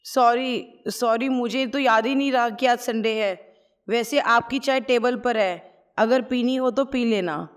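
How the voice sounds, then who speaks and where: A woman voicing a gentle, tearful young daughter-in-law, talking softly and apologetically. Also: fairly high pitch, unhurried, voice pushed up, natural voice. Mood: sad. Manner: soft, apologetic.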